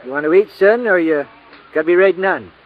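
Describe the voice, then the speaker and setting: An actor playing a cantankerous, folksy cowboy drive-in manager with an American Western drawl in an old radio drama. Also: male voice, high voice, a little fast, close to an everyday voice. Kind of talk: 1950s radio comic character acting. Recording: muffled, noisy old radio recording.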